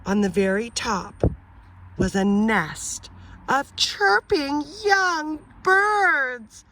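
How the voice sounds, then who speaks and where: A woman teacher reading a children's picture book aloud, expressive and pausing to ask the listener questions. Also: sits high. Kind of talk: children's read-aloud story.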